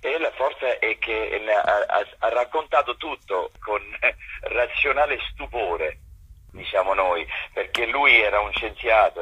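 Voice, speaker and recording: Very raspy man with a foreign accent. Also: on the low side, a bit bright. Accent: foreign accent in Italian. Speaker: actor, interview guest. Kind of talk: reflective interview talk. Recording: dull, lo-fi telephone interview.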